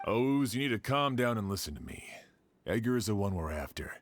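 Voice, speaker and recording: An actor playing a serious, sceptical sheriff in an anime game, firm and deliberate. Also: male voice, lowish voice, big pitch swings, a little hiss or hum.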